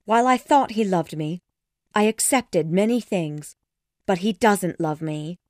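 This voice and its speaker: A man voicing a frightened, battered young wife in a high pleading falsetto, dry close sound. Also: unhurried, darkish tone, no echo. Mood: bitter.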